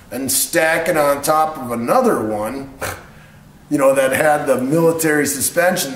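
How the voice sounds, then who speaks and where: Middle aged guy just rambling thru a story, regular pitch, kinda echoey room. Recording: roomy echo on the voice.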